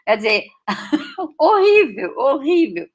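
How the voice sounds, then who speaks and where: A woman in her late fifties with German as her first language, giving a reflective personal talk to an audience, pausing often and restarting her sentences. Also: high voice, big pitch swings. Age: 50s. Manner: slow, reflective, with many pauses and restarts. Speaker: guest speaker.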